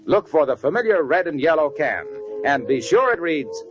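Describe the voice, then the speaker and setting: A man's voice: a little high, sing-song, moderate pace, soft background music. A man with an American accent announcing scripted 1940s radio ads in a polished, salesmanlike manner. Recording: muffled 1940s radio recording.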